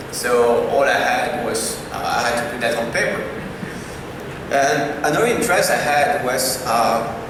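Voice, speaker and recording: Man heard from far in a reverberant hall. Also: medium pitch. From Belgium. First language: French. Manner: halting, many "uh"s and restarts. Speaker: conference speaker. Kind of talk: personal talk. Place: large conference hall. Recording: distant voice in a very echoey hall with noise.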